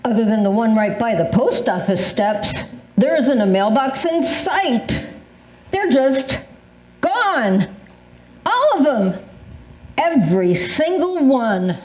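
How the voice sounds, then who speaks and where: Older lady acting a paranoid conspiracy believer on stage, slow and very animated, insistent, echoey room. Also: a little high. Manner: emphatic, insistent, suspicious. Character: agitated older woman convinced of a mailbox conspiracy. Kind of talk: amateur readers theatre performance. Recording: roomy echo.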